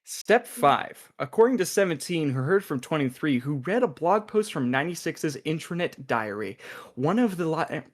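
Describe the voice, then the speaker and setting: A man playing an eager, chatty agent in a comedic radio play, excitable and theatrical in delivery. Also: fairly high pitch, moderate pace. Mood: eager, triumphant.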